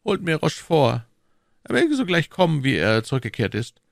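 A man voicing an imperious, keen cardinal in an audiobook, brisk and probing as he questions and commands. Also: a little high, big pitch swings, gravelly, dry recording, clean studio sound, voice pushed up. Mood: commanding, probing. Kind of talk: audiobook character dialogue.

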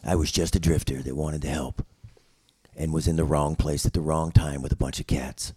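A man playing a mysterious, world-weary drifter with a deadpan, brooding delivery in a noir table read. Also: a bass voice, normal speed, breathy edge, no room sound, clean studio sound, exaggerated voice. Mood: brooding, earnest.

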